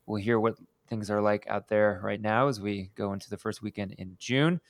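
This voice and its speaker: A man's voice: fairly low pitch, steady tone, normal speed, no room sound. A man hosting a podcast, upbeat and asking long questions. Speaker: podcast host. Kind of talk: podcast interview.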